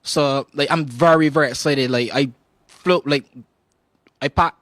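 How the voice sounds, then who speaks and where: A man's voice: a little high, measured pace, a bit bright, no echo. A young man with a Barbadian accent, a singer guest on a morning show, telling his story casually and acting out his own reactions. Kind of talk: casual interview talk.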